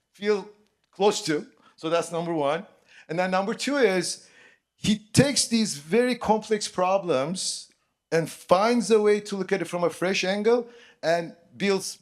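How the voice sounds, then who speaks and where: A middle-aged man sits on an auditorium stage and introduces his guest. He speaks slightly higher than most men and often trips over his words with fillers and restarts. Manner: warm but halting, with fillers and restarts. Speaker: host. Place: large auditorium stage, seated.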